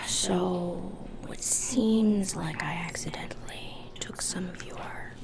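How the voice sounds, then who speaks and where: A woman playing a haughty, sinister dark fairy in an ASMR roleplay, whispering in a dismissive, sardonic way. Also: fairly low pitch, takes it slowly, creaky, rough recording. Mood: dismissive, bored.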